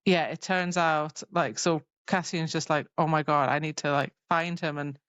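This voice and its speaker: A woman's voice: deep voice, steady tone, normal speed, a bit bright, no room sound, muffled recording. A woman co-hosting a podcast, loosely and chattily retelling a book in casual speech. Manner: chatty, loose retelling with lots of "like" and acted-out quotes. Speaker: podcast co-host. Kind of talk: casual book recap chat.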